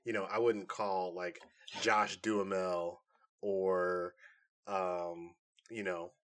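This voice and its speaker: A man's voice: low-pitched, level tone, slow, a bit bright. A man chatting casually and giving opinions as a podcast co-host, with slangy, conversational phrasing. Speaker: podcast co-host reviewing nerd culture. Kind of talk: casual review chat.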